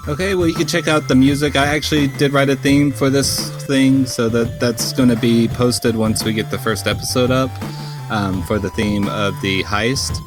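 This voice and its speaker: A man's voice: mid-range voice, fairly even intonation, normal speed, music in the background. A man chatting casually as a player on a tabletop podcast. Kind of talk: casual chat.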